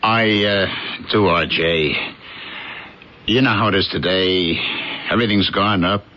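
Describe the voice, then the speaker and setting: An actor playing a meek, downtrodden worker and henpecked husband in an early eighties radio drama, hesitant and timid. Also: male voice, sits low, fairly even intonation, a little slow, bright, forceful tone, full character voice. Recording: muffled, noisy old radio broadcast.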